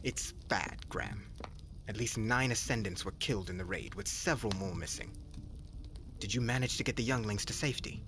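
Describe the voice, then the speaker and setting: Casting: male actor as concerned academy professor, earnest, formal, hushed, fantasy audio drama with noisy ambience. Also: lowish voice, a little fast, natural voice. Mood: worried. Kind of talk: ASMR roleplay acting. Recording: clearly noisy ambient background.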